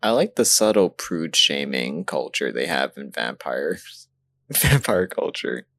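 Low, animated man bantering playfully. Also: slow pace. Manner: playful, exaggerated delivery. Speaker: podcast co-host. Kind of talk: casual comedic anime banter.

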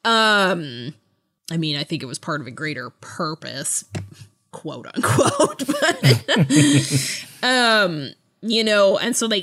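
A woman's voice: fairly low pitch, fairly bright tone. A woman hosting a podcast in a casual book discussion, often breaking off and rephrasing her thoughts as she goes. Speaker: podcast host.

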